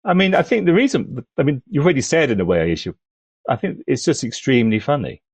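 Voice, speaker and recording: A man talking as a podcast panellist, thoughtful and halting, building his points gradually in conversation. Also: mid-range voice, very animated, husky, no room sound. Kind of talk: conversational film discussion.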